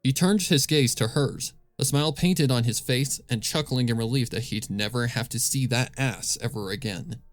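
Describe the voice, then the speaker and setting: A man's voice: mid-range voice, normal speed, hardly any pauses, no echo, soft background music. A man narrating scripted fan fiction as a storyteller, close on the microphone.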